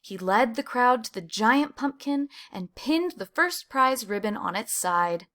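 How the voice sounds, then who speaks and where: A woman reading a children's picture book aloud, with animated emphasis on key words. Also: fairly high pitch, a little slow. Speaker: storybook reader.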